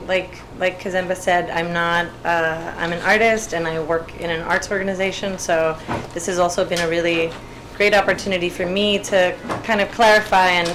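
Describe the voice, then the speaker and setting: A fairly low-pitched young woman from Puerto Rico gives a panel talk in a hesitant, stop-and-start way, often repeating words as she finds her thoughts. There is a faint hum of noise behind her at the event. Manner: hesitant, many restarts and fillers. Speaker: panel speaker, artist. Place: event room.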